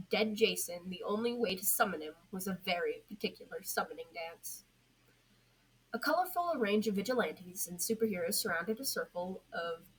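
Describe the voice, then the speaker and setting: A woman's voice: mid-range voice, slightly rough. A woman reading scripted fiction aloud as an amateur audio story narrator. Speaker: podfic narrator. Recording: noisy amateur home recording.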